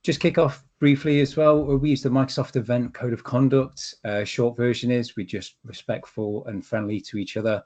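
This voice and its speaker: Laid-back male host opening an online meetup, casual and jokey. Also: mid-range voice. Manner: relaxed, joking, many uh fillers.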